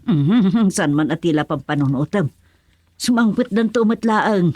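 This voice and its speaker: A woman's voice: fairly low pitch, lively intonation, unhurried, a little mellow, dry recording. Exasperated Filipino village mother, dry close sound. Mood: mildly exasperated. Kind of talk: Filipino radio serial drama acting.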